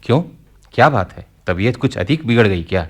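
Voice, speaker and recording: A man's voice: fairly low pitch, animated, fairly quick. A man acting a patient, reassuring family doctor in a radio play, soothing and slipping in English phrases. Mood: concerned.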